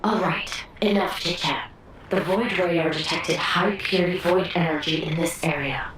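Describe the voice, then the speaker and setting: A cool, seasoned female squad fighter from a video game, acted by a woman. Her voice sits a little low and sounds brisk and commanding, with some fondness. It is heavily echoed over faint background ambience. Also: fairly bright tone, a little hiss or hum, close to an everyday voice. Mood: businesslike.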